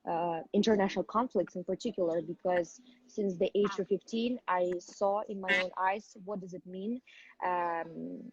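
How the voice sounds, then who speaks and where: A woman's voice: fairly low pitch, husky, highs cut off. A young woman from Ukraine, a native Ukrainian speaker, earnestly explaining things step by step as the guest of a live online interview. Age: young adult. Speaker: activist guest.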